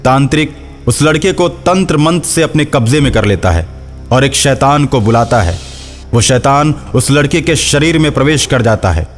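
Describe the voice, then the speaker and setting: A man's voice: medium pitch, expressive, normal speed. A man narrating a scripted horror folk story as a storyteller. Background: faint music.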